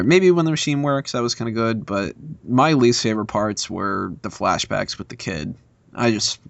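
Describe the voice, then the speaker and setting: A man's voice: mid-range voice, dry recording, highs cut off. A man speaking as a podcast panelist, giving informal opinions in a casual movie discussion.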